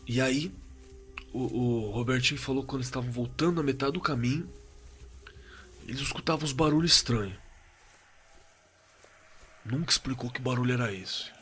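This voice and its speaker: Man acting a sharp-voiced, shaken Brazilian horror witness. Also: mid-range voice, faint music behind, natural voice. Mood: uneasy, remembering. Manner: halting, repetitive, colloquial, trailing off. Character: shaken working-class man recalling a frightening camping night. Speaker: eyewitness telling his own horror story. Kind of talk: informal spoken testimony in an audio drama.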